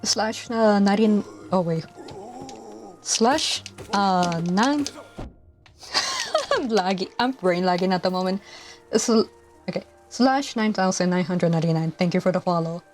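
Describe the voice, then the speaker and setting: A young woman in her twenties chats casually on a live stream while she plays. She speaks softly and hesitantly, with many fillers. Her mic is clipped to her collar, and faint game music runs underneath. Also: mid-range voice, very animated, unhurried, pauses often. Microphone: lapel mic clipped to collar.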